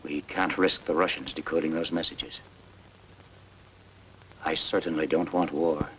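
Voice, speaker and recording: A man playing a hawkish American government official in a 1950s film, grave and deliberate. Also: a bass voice, steady tone. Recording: distant, noisy 1950s film soundtrack.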